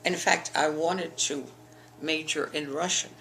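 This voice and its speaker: A woman's voice: low voice, steady tone, a little slow, a bit bright, a little hiss or hum, rough recording. An older woman from the eastern United States, telling her school memories in an oral history interview, rambling with frequent restarts. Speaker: interviewee, alumna.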